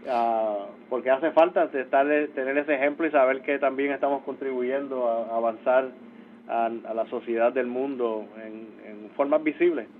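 Brief: Puerto Rican man, interview guest, halting and full of restarts, heard over a thin phone line. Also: mid-range voice, slight background noise. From Puerto Rico. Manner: halting, many restarts and fillers. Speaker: space agency mission coordinator, interview guest.

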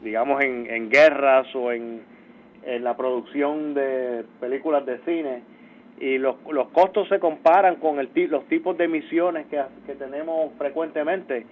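A man's voice: medium pitch, soft background music. Puerto Rican man on a tinny phone line. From Puerto Rico. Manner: halting, many restarts and fillers. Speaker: space agency mission coordinator, interview guest. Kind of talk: phone interview.

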